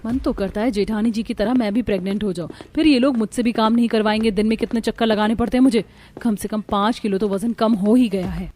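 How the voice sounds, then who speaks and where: A woman's voice: mid-range voice, steady tone, fast talker, darkish tone, lightly acted. A woman voicing a put-upon, complaining young daughter-in-law in a cartoon story. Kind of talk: Hindi cartoon story voice acting.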